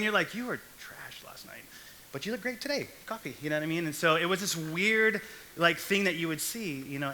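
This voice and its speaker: Bright-voiced young preacher from Texas. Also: male voice, a little high. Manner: chatty, jokey asides. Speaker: young adult pastor. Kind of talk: casual sermon. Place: church hall stage.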